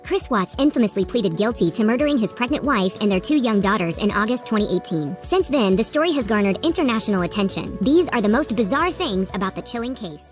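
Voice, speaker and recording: Fast-paced female true-crime narrator. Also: medium pitch, animated. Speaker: video narrator. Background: faint music.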